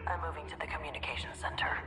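A woman voicing a cool, disciplined military commander in a video game, terse and hushed. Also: low-pitched, fairly even intonation, very fast, roomy sound, whispered, long reverb tail, recorded from a distance, close to an everyday voice. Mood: cool, focused. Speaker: Imperial special forces commander.

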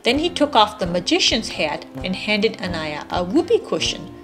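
A woman in her thirties reading a children's story aloud in an expressive manner meant for young listeners. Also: mid-range voice, moderate pace, music in the background. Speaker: storybook reader, parent.

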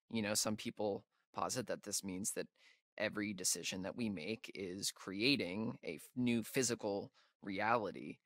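A man's voice: on the low side, fairly bright tone, no echo, studio quality. A young American man playing a nervous, geeky, wry camp counselor in a video game, with a hesitant, stammering way of talking. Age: young adult. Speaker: video game character actor. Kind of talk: naturalistic video game dialogue.

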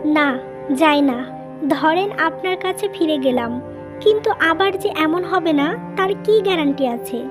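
Woman acting very high-pitched wronged young wife. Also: expressive, unhurried, music in the background. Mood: wounded, resolute. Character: wronged, wounded young wife, proud and firm. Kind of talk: dubbed cartoon drama dialogue.

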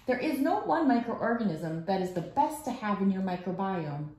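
In a noticeably echoey room at home, a woman in her thirties reads a picture book aloud. Her pitch is average for a woman, and her delivery is measured, like storytime for children. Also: big pitch swings, a little mellow. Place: small room at home, indoors.

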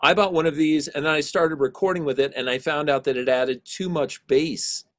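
A middle-aged man with a low voice teaching an online class over a video call, explaining casually with many fillers. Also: steady tone, moderate pace, fairly bright tone.

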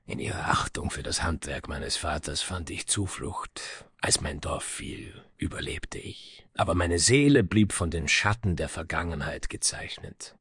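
Man acting a deep, husky, somber dwarf. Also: unhurried, hardly any pauses, no room sound, studio quality, exaggerated voice. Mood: haunted, sorrowful. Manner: grave, dramatic storytelling with breathy hushed phrases. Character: somber, proud dwarf smith from icy northern mountains. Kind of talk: scripted fantasy audio drama acting.

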